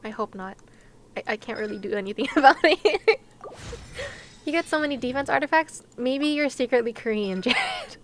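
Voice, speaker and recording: A woman's voice: sits high, very animated, moderate pace, a little hiss or hum. A woman chatting casually to viewers on a game livestream, with a playful, giggly, chatty manner. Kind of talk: casual livestream chat while gaming, talking to viewers.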